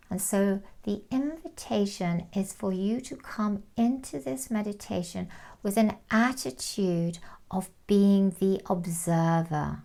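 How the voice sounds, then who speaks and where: A woman leading a guided meditation in a calm, soothing, unhurried manner, with soft phrase endings and long pauses. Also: mid-range voice, creaky. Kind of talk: guided meditation, gentle instruction.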